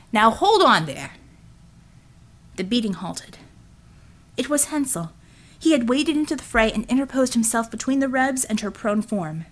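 A woman reading a scripted action story as a dramatic audiobook narrator. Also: fairly high pitch.

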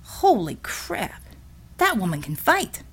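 A woman's voice: a little high, wildly swooping pitch, takes it slowly, fairly bright tone, husky, heavily acted. A woman voicing a scrappy, defiant working-class man, brash and plain-spoken, in an audiobook. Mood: astonished.

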